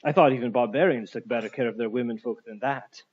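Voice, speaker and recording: Storybook villain: husky aristocratic captain, played by a male narrator, formal, mocking politeness, slightly lo-fi. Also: medium pitch, fast talker, lightly acted. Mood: scornful, probing. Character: suave, menacing aristocratic male army captain. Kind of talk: audiobook character voice.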